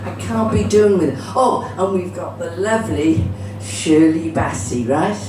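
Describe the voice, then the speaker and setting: A woman's voice: on the low side, a little slow. A middle-aged woman with a Cardiff Welsh accent performing live stand-up comedy in a chatty, bawdy manner, swearing freely. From Cardiff, Wales. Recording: roomy, distant, noisy live venue recording.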